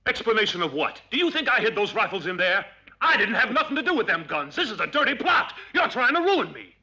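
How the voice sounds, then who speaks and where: An actor playing a defensive, indignant storekeeper in a western film, protesting and blustering. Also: male voice, a little high, big pitch swings, very fast, pushed and bright, husky, heavily acted. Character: defensive, indignant frontier storekeeper accused of gun-running. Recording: dull old film soundtrack, faint noise.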